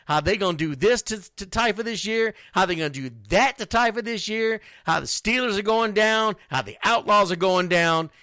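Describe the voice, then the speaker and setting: A man's voice: high voice, bright, forceful tone, no echo. A man hosting an unscripted sports talk show, answering listeners in a blunt, emphatic way and repeating phrases for stress. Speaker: sports radio show host.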